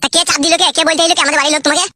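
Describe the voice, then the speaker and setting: Stubborn cartoon village youth played by a woman: very high voice, rattling pace, crude back-talk, rural West Bengal accent. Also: steady tone, very fast, pushed and bright, dry recording. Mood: defiant. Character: stubborn, sulky young village man, defiant bigamist. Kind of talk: comic cartoon dialogue.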